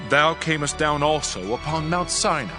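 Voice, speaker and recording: Actor as solemn Levite, slightly high voice. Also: male voice, expressive, moderate pace, close to an everyday voice. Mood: earnest reverence. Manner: earnest, declamatory. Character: solemn male Levite recounting God's deeds in prayer. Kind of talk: dramatized scripture reading, prayer. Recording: music bed under the voice.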